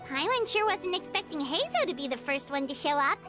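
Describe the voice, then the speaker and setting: A tiny floating fairy girl in a video game, with an extremely high, childlike voice. She is excitable, bossy and cheeky, swinging widely in pitch. Prominent music plays behind her. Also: fairly quick. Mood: chirpy. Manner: childlike, exclamatory, speaks of self in third person.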